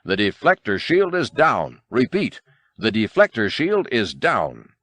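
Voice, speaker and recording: A man's voice: on the low side, wildly swooping pitch, a little slow, a bit bright, full character voice. A man playing an urgent alien fleet admiral giving orders in an audiobook. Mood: urgent, commanding.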